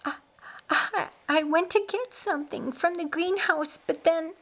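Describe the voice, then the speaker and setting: An earnest young woman in her twenties from a biblical-era story, acted by a female reader. Her voice rides high, halting and repeating words, shaken yet insistent. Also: fairly bright tone, heavily acted, rough recording.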